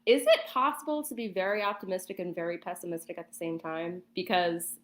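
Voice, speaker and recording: A woman's voice: lowish voice, steady tone, brisk. A woman guest chatting casually in an interview, thinking aloud a little hesitantly, with plenty of "like" along the way. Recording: echoey, roomy sound.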